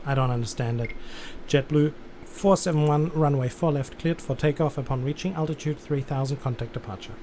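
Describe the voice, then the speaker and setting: Mellow man on a flight-control stream. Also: on the high side, a little fast, hardly any pauses. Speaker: streamer playing air traffic controller. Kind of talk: live game stream with controller instructions and commentary. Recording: background noise.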